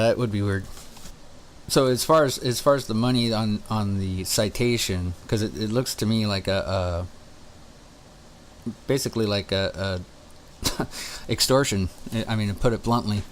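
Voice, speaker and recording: A man calling on the phone to ask questions, halting and skeptical as he puts his points together. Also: lowish voice. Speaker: caller. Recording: phone call recording with faint noise.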